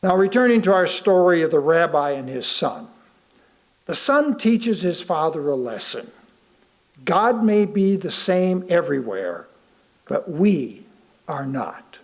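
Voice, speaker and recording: A man reading a sermon aloud to a congregation, deliberately, pausing between short phrases. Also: fairly high pitch, sing-song. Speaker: guest speaker reading a sermon.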